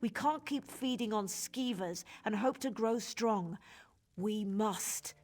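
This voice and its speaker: A woman playing a starved young female vampire in a video game, husky and pleading, with dramatic intensity. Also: medium pitch, slow, darkish tone. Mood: desperate, defiant. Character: young female vampire, starved, guilt-ridden and desperate for blood.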